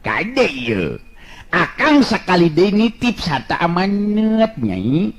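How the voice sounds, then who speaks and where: A man's voice: high voice, huge pitch swings, slow pace, voice pushed up, full character voice. A man voicing a cheerful, humble, teasing male folk hero in a storytelling, with a coaxing, chuckling way of talking. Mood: earnest, humble.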